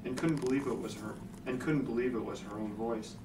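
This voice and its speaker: A man's voice: mid-range voice, a little fast. A man reading scripted story narration as the narrator in a hotel room. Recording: echoey, noisy hotel room, distant.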